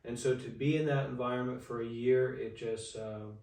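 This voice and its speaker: A man in his twenties, telling his life story as an interview guest in a halting way, with many fillers and restarts. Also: medium pitch, fairly even intonation, a little mellow. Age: 20s. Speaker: interview guest, Buddhist monk. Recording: echoey room, far from the mic.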